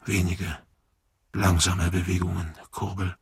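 A weary male figure speaks fragments of poetry in an experimental radio play. The voice is low and very husky, with heavy gravel. Words come haltingly, one small piece at a time. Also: level tone, heavily acted. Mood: slow, brooding. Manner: halting, sparse, word-by-word delivery.

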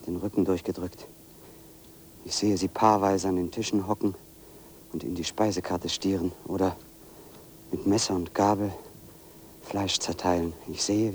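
Male actor, low dark voice, solitary brooding wanderer, measured literary monologue, radio drama, some background noise. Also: close to an everyday voice. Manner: measured, deliberate, literary first-person narration. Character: solitary brooding man walking into a dark forest. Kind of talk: radio play interior monologue.

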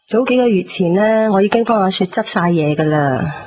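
A woman's voice: mid-range voice, lively intonation, a little fast, a little mellow, slight background noise, close to an everyday voice. A woman with a Hong Kong Cantonese accent playing a caring, fussing middle-aged mother in a radio drama. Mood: kindly, chatty. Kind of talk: 1990s radio drama acting. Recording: dull lo-fi radio recording under music.

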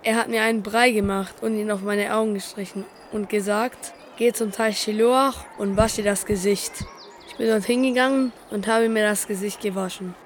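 A woman's voice: mid-range voice, steady tone, moderate pace, slight background noise, close to an everyday voice. A woman playing an earnest, plain-spoken man in an audio drama, speaking emphatically.

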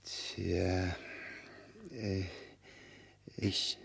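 Creaky, halting aristocrat. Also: male voice, low voice, flat delivery, a bit bright, heavily acted. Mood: awkward, vaguely polite. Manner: halting, vague, sighing filler words. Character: slow-witted aristocratic husband, awkward host of few words. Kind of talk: radio drama acting.

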